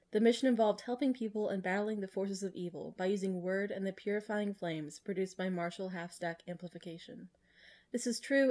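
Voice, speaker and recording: A woman's voice: medium pitch, darkish tone. Female podcast co-host, mid-range voice, casual conversational delivery with some read-aloud narration.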